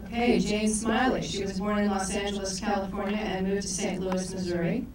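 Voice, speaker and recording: A woman's voice: mid-range voice, fairly even intonation, a little fast, distant mic, heavy background noise. Woman introducing speakers in an echoey hall. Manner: reads bios with stumbles and self-corrections. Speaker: festival co-director introducing speakers. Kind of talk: live stage introduction. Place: large echoey event hall.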